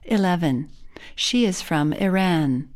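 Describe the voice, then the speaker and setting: Woman doing a repeat after me language lesson, really slow, slightly low voice, short separate phrases. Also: lively intonation, a little mellow. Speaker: language teacher.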